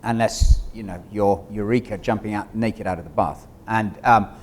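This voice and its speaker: A man's voice: on the low side, steady tone, moderate pace. A man speaking as an actor guest in a conversational stage discussion, thinking aloud with asides. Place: on a theater stage. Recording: clear background noise, stage ambience.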